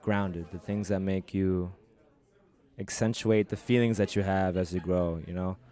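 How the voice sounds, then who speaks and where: A man's voice: deep voice, steady tone, a little slow, mellow. A man answering an interview question reflectively, choosing his phrases in a thoughtful, philosophical way.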